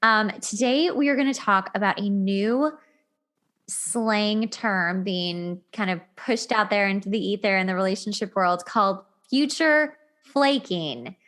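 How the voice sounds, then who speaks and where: Woman, talk show host, mid-range voice, casual chatty delivery, animated and colloquial. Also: medium pitch, unhurried. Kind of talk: casual relationship chat.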